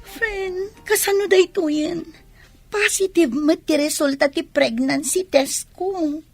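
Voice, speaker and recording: High-pitched actress as anxious young student. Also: female voice, slow pace, darkish tone, soft background music, heavily acted. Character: anxious, scheming young pregnant student girlfriend. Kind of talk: Ilocano radio drama acting.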